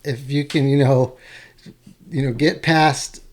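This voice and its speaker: A man hosting an interview podcast, speaking in a halting way, often restarting and repeating words. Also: mid-range voice, fairly even intonation, darkish tone. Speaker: podcast host. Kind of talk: conversational interview.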